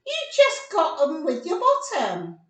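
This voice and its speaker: A woman voicing a confident, encouraging female animal who can fly, coaching in an excitable, matter-of-fact way for a children's storybook. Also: high-pitched, a little slow, exaggerated voice. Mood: teasing, insistent. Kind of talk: children's storybook read-aloud. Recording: roomy echo, distant microphone.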